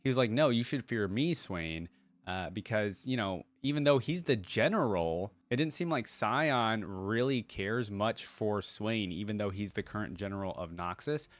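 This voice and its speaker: Low-pitched casual male reaction host. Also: lively intonation, moderate pace, no echo. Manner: informal, conversational, thinking aloud.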